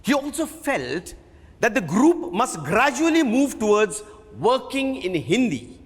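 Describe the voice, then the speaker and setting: A man with an Indian accent giving a theatrical, measured dramatic reading of a biography in a hall. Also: very high-pitched, big pitch swings, slightly rough. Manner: theatrical, measured storytelling delivery. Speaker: theatre performer reading at a book event. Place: live reading in an event hall. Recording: reverberant hall.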